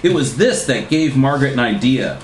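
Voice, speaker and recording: A man reads a comic story aloud with dramatic, deadpan timing and very lively intonation. His medium-pitched voice sounds in a roomy, echoing space with faint noise. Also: brisk. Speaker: reader. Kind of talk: live comic story reading.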